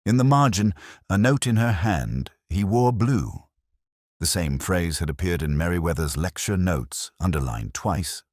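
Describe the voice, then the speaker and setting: Very deep-voiced man narrating a mystery. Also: expressive, no echo, clean studio sound. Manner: measured, literary, melancholy delivery. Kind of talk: scripted first-person mystery narration.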